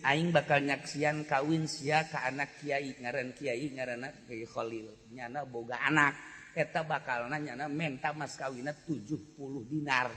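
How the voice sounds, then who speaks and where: A man with a Sundanese accent preaching through earthy, animated, colloquial comic storytelling. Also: mid-range voice, normal speed. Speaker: Islamic preacher. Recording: echoey hall with long reverb.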